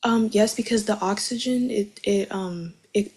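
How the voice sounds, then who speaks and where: A woman's voice: medium pitch, a little slow, darkish tone, a little hiss or hum. A young woman giving testimony as a witness in a courtroom, speaking in casual, informal wording.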